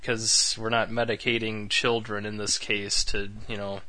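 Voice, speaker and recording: A man's voice: lowish voice, little pitch movement, a little slow, bright, forceful tone, low-quality recording. A man co-hosting a podcast in casual, rambling chat with wry, sarcastic asides. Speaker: podcast co-host.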